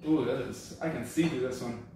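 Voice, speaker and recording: A man hosting a comedy podcast, chatting casually while tasting beer, relaxed with lots of fillers. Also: medium pitch, a little fast, background noise. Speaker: comedy show host. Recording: roomy echo, from a distance.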